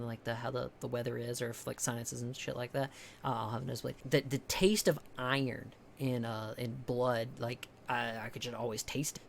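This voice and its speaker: A young man from the with a higher-pitched voice, chatting casually with his stream viewers, rambling, swearing a lot and restarting often. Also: a little hiss or hum. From American South. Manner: rambling, heavy swearing, many restarts and fillers.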